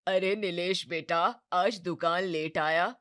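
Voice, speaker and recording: A woman voicing an older neighbourhood uncle, admonishing and full of advice, in animated story dialogue. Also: on the low side, slow.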